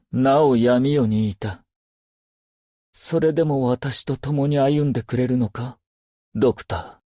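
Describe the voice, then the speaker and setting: An actor voicing a brooding, haunted stage performer turned loyal assassin in a video game, with solemn, theatrical and poetic delivery. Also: male voice, medium pitch, a little mellow, no echo, clean studio sound. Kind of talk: scripted game character voice lines, theatrical monologue.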